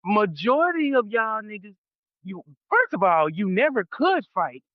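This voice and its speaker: A man in his late thirties with a high voice rants bluntly on a casual podcast. He speaks emphatically and swears freely. The sound is dry and a little lo-fi. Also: sing-song, measured pace, no echo. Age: 30s. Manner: blunt, emphatic, profane ranting. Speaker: podcast co-host.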